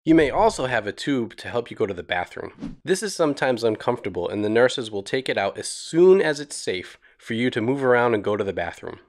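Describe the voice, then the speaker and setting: Male narrator for kids' explainer cartoon; lively, swinging intonation, reassuring, simple delivery. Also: medium pitch, fairly quick. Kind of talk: scripted narration for a children's educational cartoon.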